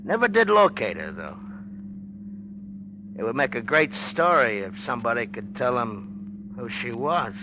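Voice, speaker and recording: A man's voice: mid-range voice, very animated, measured pace, pauses often. Cocky wise-guy reporter, 1940s radio drama, slangy n smug, muffled hissy old recording. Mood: insinuating. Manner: slangy, smug, hard-boiled. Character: cocky, needling newspaperman, smug tough-talking man.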